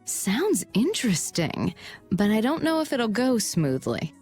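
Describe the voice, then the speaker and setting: A woman's voice: mid-range voice, big pitch swings, unhurried, darkish tone, soft background music. A woman playing a poised, businesslike merchant leader in an English anime game dub. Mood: shrewd.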